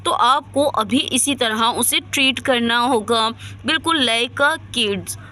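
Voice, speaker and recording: Woman as bright-voiced, instructive lady doctor. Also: a little high, slow, pitched below the performer's own voice. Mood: serious. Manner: instructive, emphatic, reassuring. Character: concerned female doctor, authoritative and explanatory. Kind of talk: dramatised storytelling.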